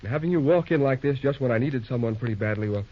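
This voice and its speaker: An actor in an old radio drama playing an earnest, anxious young man who is eager and quick to exclaim. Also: mid-range voice, expressive, lightly acted. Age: young adult. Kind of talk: 1930s radio drama acting. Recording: dull lo-fi old radio recording.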